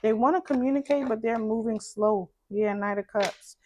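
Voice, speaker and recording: A woman with a low voice, doing a casual tarot reading in a soft, musing way and repeating phrases as she thinks. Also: moderate pace, a little hiss or hum. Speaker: tarot card reader.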